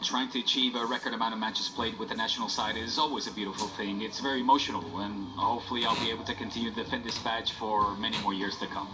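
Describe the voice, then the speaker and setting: A man's voice: on the low side, steady tone, fast talker, few pauses, rough recording. A man delivering a quote as a scripted voice-over. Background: loud music.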